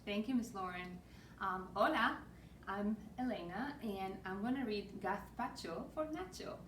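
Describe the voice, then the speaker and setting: A woman from Spain reads a picture book to children, her Spanish accent clear and her delivery lively and rhythmic. She sits some way from the camera in a small room with a roomy echo. A faint hiss sits under her voice. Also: mid-range voice, measured pace, recorded from a distance. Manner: lively, rhythmic read-aloud with Spanish words. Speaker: storytime reader. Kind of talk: reading a picture book aloud. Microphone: far camera.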